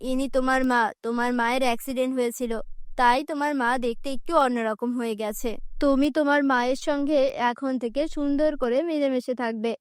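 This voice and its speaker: A woman's voice: sits high, fairly even intonation, a little fast, clear, resonant tone, no room sound, studio quality, natural voice. A woman voicing a mild father in a cartoon story, reassuring and placating his child. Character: mild, placating adult father of a little girl.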